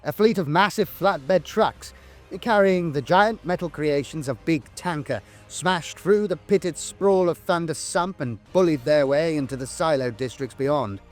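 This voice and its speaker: A man delivering scripted lore narration in a grandiose, theatrical storytelling manner. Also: fairly high pitch. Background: background music.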